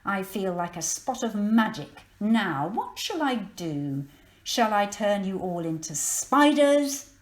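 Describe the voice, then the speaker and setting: A wicked storybook witch, acted out by a woman, gleefully menacing and bossy. She draws out her commands theatrically, with very lively pitch, in a roomy echo. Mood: gleeful menace. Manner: theatrical, loud, drawn-out commanding delivery. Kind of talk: children's storybook character reading.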